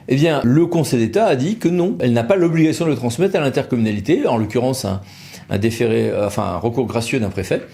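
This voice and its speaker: A man with a mid-pitched voice gives a conversational legal explainer. He speaks as an expert to viewers, informally, dropping wry colloquial asides into technical explanation. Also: warm tone. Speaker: legal expert from a law firm presenting a video.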